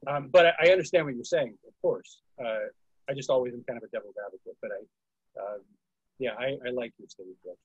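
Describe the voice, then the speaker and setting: A man's voice: medium pitch, fast, slightly rough, no room sound, muffled recording. A man moderating a casual group discussion over a video call, speaking as the host. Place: indoors, online video call. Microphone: video call microphone.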